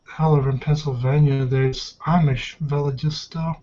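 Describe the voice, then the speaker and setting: A man's voice: on the high side, steady tone, measured pace, dark tone, dull audio. A man talking casually as a player in a tabletop roleplaying game.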